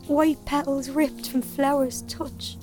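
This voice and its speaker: A woman's voice: high voice, flat delivery, takes it slowly. An actress playing a young woman delivering a sensual, restless verse monologue in an audio drama. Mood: vivid, brisk. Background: faint music.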